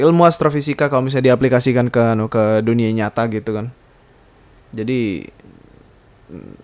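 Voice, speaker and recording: A man in his twenties with a medium-pitched voice talks alone as a podcast host. His delivery is informal and rambling. He often hesitates to find the right word and mixes in slang and bits of English. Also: smooth. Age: 20s. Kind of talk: casual rambling solo podcast monologue.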